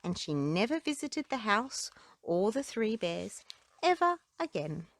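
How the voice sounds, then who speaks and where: Slow-paced woman reading bedtime stories. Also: medium pitch, big pitch swings, no room sound. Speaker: children's book reader. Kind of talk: read-aloud storybook and nursery rhyme narration.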